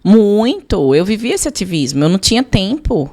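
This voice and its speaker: A woman's voice: mid-range voice, sing-song, normal speed, dark tone. A woman with a Brazilian accent telling personal testimony as a podcast guest, emphatic, repeating phrases and voicing her own prayers.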